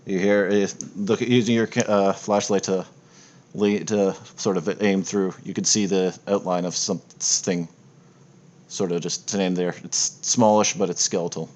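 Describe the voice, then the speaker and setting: A man narrating a tabletop horror game as game master, improvising in a casual, halting, descriptive way. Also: low-pitched, steady tone, a little mellow. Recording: noisy home mic on online game.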